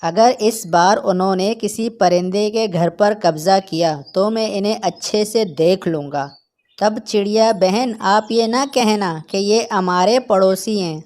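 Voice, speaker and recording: A woman plays a plain-spoken bird in a children's cartoon. This wary neighbour sounds earnest and firm, in a medium-pitched voice. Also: measured pace, a little mellow, close to an everyday voice. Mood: wary, indignant.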